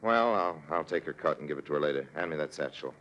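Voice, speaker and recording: A man playing a suave, sardonic con artist in a 1950s radio drama, with wry, theatrical, deliberate phrasing. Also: very deep voice, animated, a bit bright, dull audio. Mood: gleeful.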